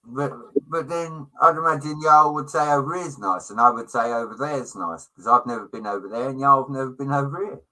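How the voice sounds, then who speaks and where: A man's voice: mid-range voice, a little fast, few pauses, sharp tone, rough recording. A middle-aged British man in casual livestream chat, talking in a chummy, opinionated way with slang and swearing. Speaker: livestream co-host.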